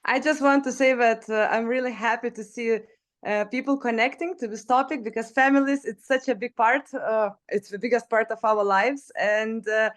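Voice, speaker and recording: A woman's voice: on the high side, steady tone, moderate pace, a bit bright. A woman from Lithuania giving earnest welcome remarks on a video call, with a few fillers. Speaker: zone coordinator.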